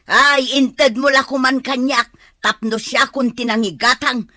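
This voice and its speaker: A woman's voice: sits high, fairly even intonation, measured pace, sharp tone, no room sound, clean studio sound. A woman playing a whiny gambling mother. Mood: defensive. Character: older mother, gambler and drinker, defensive.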